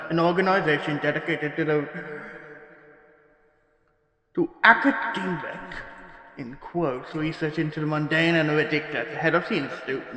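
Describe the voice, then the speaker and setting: Guy doing a grumpy sweary archivist for a spoof horror podcast, halting delivery, super echoey with long reverb. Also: on the high side, sharp tone. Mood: officious. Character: jaded, scornful male archivist recording case statements. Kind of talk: parody audio drama monologue, mock-formal narration.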